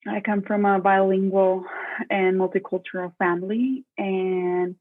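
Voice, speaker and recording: A woman, chatting casually as a podcast guest, relaxed and rambling with frequent fillers. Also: mid-range voice. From Mexico. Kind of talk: casual interview chat. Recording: tinny phone-like remote call line.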